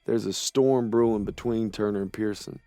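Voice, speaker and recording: An actor playing a wary soldier narrating the story of a war game in scripted voice-over. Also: male voice, fairly low pitch, steady tone, normal speed, mellow, dry recording, soft background music, natural voice. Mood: ominous.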